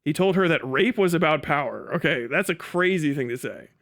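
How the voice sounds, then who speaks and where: A male livestream commentator with a high, sharp voice, talking casually and swinging widely in pitch. He reads aloud, then breaks off to riff in disbelief, swearing freely. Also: fairly quick. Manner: incredulous, foul-mouthed, animated; acts out mocking imagined dialogue. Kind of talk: casual reaction commentary, reading an article aloud and riffing.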